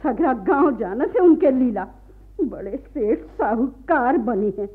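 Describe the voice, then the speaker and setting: An actress in a radio play voicing a fiery, bitter village wife who scolds and complains in a rural Awadhi North Indian accent. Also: female voice, a little high, animated, unhurried, slightly rough. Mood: indignant. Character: fiery, bitter peasant wife, sharp-tongued, fed-up. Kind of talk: Hindi radio play acting. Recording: muffled lo-fi radio archive recording.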